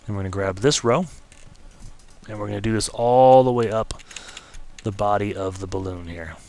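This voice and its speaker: A man giving a relaxed, step-by-step screen-recorded software tutorial, slightly losing his voice and clearing his throat now and then. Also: lowish voice, moderate pace, a little hiss or hum. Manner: relaxed, step-by-step explaining with casual fillers.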